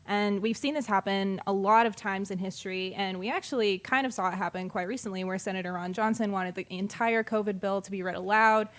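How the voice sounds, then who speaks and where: A woman's voice: mid-range voice, fairly even intonation, a little fast, few pauses. A woman co-hosting a political talk podcast, presenting her prepared notes and agreeing often with "mm-hmm" and "exactly". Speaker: podcast co-host. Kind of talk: casual political chat.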